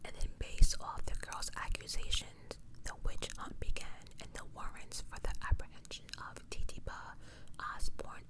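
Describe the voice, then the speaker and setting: The voice never rises out of a full, unvoiced whisper. It belongs to an ASMR creator recounting true crime in a conversational way, stepping aside to comment casually and restating points for emphasis.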